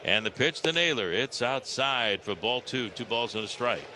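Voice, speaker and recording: An American man works as a baseball play-by-play announcer on the radio. His voice sits in a middle register as he narrates the game live. Clear background noise from the ballpark runs under the whole broadcast. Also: mid-range voice, lively intonation, normal speed.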